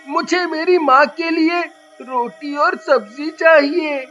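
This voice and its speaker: A man's voice: very high voice, slow pace, sharp tone, heavily acted. A man voicing a poor, caring young boy in a moral story, with a childlike, earnest manner. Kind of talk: animated moral story dialogue. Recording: muffled audio with music behind.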